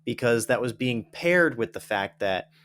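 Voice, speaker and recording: A man's voice: mid-range voice, expressive, measured pace, fairly bright tone. A man hosting a podcast, making his points in casual conversation with a reflective "right?". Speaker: podcast host. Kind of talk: casual interview talk.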